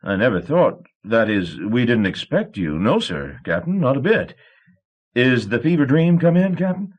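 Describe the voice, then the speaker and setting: A man's voice: mid-range voice, sing-song, normal speed, dull audio, voice pushed up. A man doing a stammering, flustered young clerk. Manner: stammering, babbling. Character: flustered, nervous young male shipping clerk.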